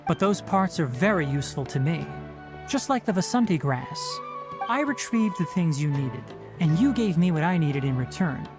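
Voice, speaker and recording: A man voicing a calm, stern young male forest ranger in a game, speaking in a measured, matter-of-fact, slightly dry way. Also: a little high. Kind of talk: game dialogue voice acting. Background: soft game music.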